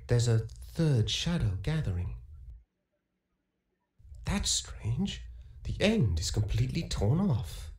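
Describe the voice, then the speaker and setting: An actor playing a thoughtful, curious young weaver in an audio drama, musing deliberately as he describes things. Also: mid-range voice, big pitch swings, darkish tone. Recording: echoey room.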